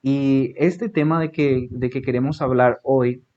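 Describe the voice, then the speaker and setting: A man's voice: mid-range voice, fairly even intonation, unhurried, darkish tone. A man with a Paraguayan accent hosting a casual podcast interview, talking with many fillers and tag questions. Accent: Paraguayan Spanish. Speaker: podcast host. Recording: dull lo-fi audio.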